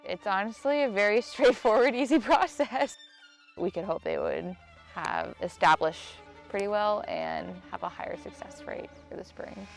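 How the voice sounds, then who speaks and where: A woman's voice: medium pitch, big pitch swings, moderate pace, creaky, faint music behind. A woman in her twenties from Maryland, an education officer, explaining a planting process step by step. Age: 20s. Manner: demonstrating, explaining steps. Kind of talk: documentary interview, demonstration.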